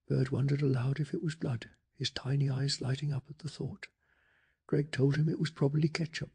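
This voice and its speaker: A man narrating a bedtime story in a soft-spoken ASMR style, calm, hushed and measured, with a breathy, gravelly voice. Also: on the high side.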